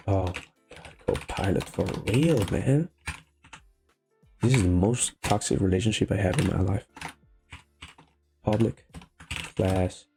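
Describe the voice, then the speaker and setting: A man with a Venezuelan Spanish accent, a non-native speaker of English, thinking aloud haltingly through a casual coding tutorial. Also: fairly low pitch, gravelly. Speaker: programming tutorial host. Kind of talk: casual live-coding walkthrough. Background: faint music, keyboard typing.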